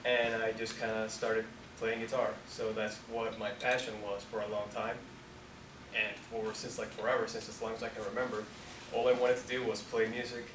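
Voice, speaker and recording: A man in his twenties from Colombia, a company founder talking casually to students in a relaxed, rambling way, full of "like". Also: fairly low pitch. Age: 20s. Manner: relaxed, rambling, heavy use of "like". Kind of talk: casual talk to an audience. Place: university room. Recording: echoey room, distant mic, noisy.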